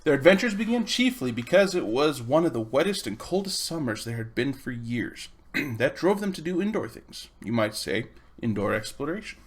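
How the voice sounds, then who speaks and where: A man's voice: a little high, breathy edge. A man reading a children's storybook aloud as its narrator. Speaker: reader.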